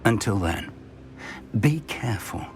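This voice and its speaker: An actor playing an older wizard mentor in a fantasy game, cautious and caring, with a husky voice and a deliberate, well-spoken manner. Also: male voice, on the low side, animated. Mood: protective. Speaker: mentor professor. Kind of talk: scripted fantasy game acting.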